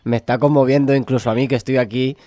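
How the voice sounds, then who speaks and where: A man's voice: mid-range voice, fairly even intonation, fast talker, mellow, no room sound. A man with a Spanish accent interviewing informally and effusively from a radio studio, in a conversational style. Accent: Spanish (Spain).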